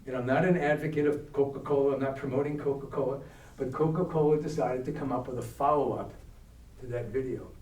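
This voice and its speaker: A man's voice: medium pitch, brisk, darkish tone. A man speaking as a guest speaker at a church adult education hour, talking conversationally and putting questions to the audience. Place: church hall. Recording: echoey hall, distant mic.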